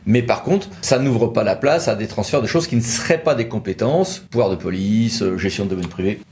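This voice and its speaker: A man's voice: lowish voice, a little fast, a little mellow, slight background noise. A man presenting a legal explainer in a conversational, didactic way, thinking aloud through rhetorical questions.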